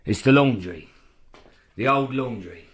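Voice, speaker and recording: An actor playing a weary, coarse, sardonic castle jailer with wry dark humour in an audio horror play. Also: male voice, medium pitch, measured pace, lightly acted. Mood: guarded.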